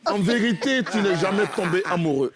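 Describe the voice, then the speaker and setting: A man's voice: sits high, expressive, a little fast, a crowd in the background. A man with an Ivorian French accent hosting a TV chat show, teasing his guest with playful wordplay. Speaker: TV show host.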